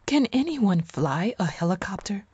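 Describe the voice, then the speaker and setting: A woman playing a practical, level-headed fugitive leader in an audio drama, brisk, urgent and decisive. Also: mid-range voice, sing-song, darkish tone, breathy edge, no echo, muffled recording.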